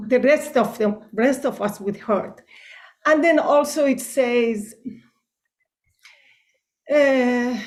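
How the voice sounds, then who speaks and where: A woman's voice: a little high, darkish tone. A woman from Iran, speaking on a group video call in a careful, word-searching way. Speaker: participant in a group meditation call. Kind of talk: earnest casual sharing.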